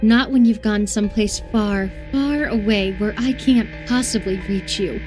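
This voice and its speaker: A woman playing an obsessive, sweetly menacing young female captor in a dramatic horror visual novel, coaxing with a sharp edge. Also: medium pitch, a little slow, music in the background, lightly acted. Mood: angry, bitter.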